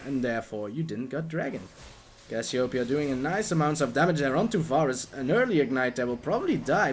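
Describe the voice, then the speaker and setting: Excitable Dutch-accented man commentating a game. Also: on the high side, a little hiss or hum. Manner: excitable, jokey, talks to the players on screen. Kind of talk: live gameplay commentary.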